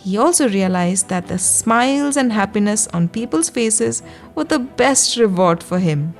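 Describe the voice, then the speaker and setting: A woman's voice: medium pitch, expressive. Woman telling a children's bedtime story over music. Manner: slow, soothing guidance addressed to young children. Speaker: children's storyteller and yoga guide. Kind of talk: gentle scripted bedtime story narration.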